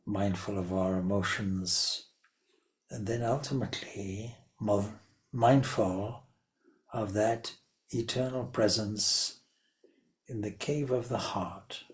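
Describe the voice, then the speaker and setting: A man leading a guided meditation as a spiritual teacher, speaking deliberately in short phrases with long pauses. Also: lowish voice, steady tone, low-quality recording.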